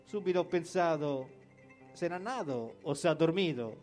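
High-voiced Roman man performing dialect verse. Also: expressive, normal speed, darkish tone. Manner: colloquial, theatrical storytelling with acted dialogue. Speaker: poetry performer. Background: guitar music.

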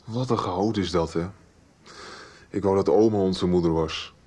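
A man's voice: low voice, normal speed, darkish tone, slightly rough. A male actor playing a blunt, teasing young man in a radio soap, talking colloquially.

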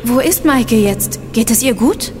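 Young detective girl, highish voice, earnest n direct, audio drama with reverb. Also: lively intonation, unhurried, very noisy. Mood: worried, insistent. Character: earnest, sharp young female amateur sleuth. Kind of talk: German audio drama acting. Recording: reverberant, music underneath.

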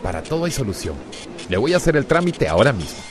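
A man's voice: medium pitch, very animated, quick pace, music in the background, lightly acted. An actor playing a helpful, reassuring young male clerk in a radio soap.

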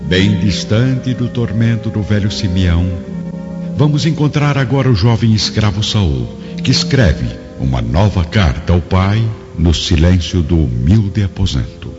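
A man's voice: low-pitched, expressive, unhurried. A man narrating a radio drama in a solemn, literary, scripted style. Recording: dull recording, long reverb, music behind. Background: music.

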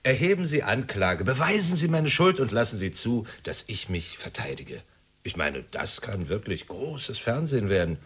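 A proud middle-aged male film director in a radio drama. His husky voice swings widely in pitch as he holds forth with pompous indignation. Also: big pitch swings, moderate pace, a bit bright, exaggerated voice. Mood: challenging. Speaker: actor.

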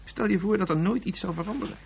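A man's voice: sits high, quick pace, creaky, darkish tone, breathy edge, exaggerated voice. A man in a radio drama playing a preoccupied father who explains things in formal, reasoned language. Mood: explaining.

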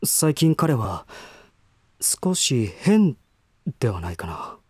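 An actor playing a troubled, reserved young gentleman in a voice drama, hesitant, introspective and formal in manner. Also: medium pitch, sing-song, takes it slowly, husky, no echo, clean studio sound, exaggerated voice. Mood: guarded, hesitant. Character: troubled, reserved young gentleman brooding over his half-brother. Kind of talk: Japanese voice drama acting with inner monologue.

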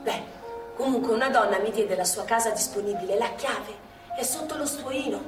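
A woman's voice: on the high side, normal speed, music in the background, natural voice. An actress playing an elderly former partisan woman, acting out her wartime memories in a stage monologue. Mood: wry. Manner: animated, chatty, acts out past scenes. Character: elderly woman, former partisan hospital helper, lively storyteller. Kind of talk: stage monologue in a radio play. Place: theatre stage. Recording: echoey stage from far off, faint hum.

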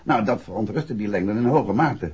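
Husky, lively radio detective, muffled recording. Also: male voice, lowish voice, normal speed. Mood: explaining, assured. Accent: Dutch. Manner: expository, assured, conversational. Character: detective husband calmly explaining a solved crime case. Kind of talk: 1960s radio detective drama acting.

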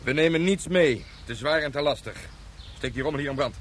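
A man's voice: a little high, brisk, natural voice. A stern male colonel acted by a man in a sci-fi radio play. He speaks in clipped, commanding phrases with cold menace, over faint background noise. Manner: curt, commanding. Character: curt, ruthless male colonel leading a space mission. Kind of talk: Dutch science fiction radio drama acting.

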